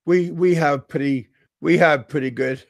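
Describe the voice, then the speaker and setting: A man from Alaska hosting a casual livestream, chatting with viewers in a halting way and often repeating and restarting his words. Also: on the high side, fairly even intonation, dry recording. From Alaska, USA. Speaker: livestream host. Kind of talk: casual live-chat talk reading viewer comments.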